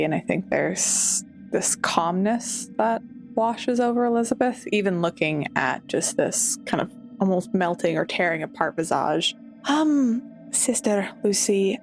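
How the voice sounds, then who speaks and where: A woman's voice: mid-range voice, gravelly, a little mellow. A woman playing a tabletop roleplaying game, improvising narration and dialogue in careful, hesitant phrases.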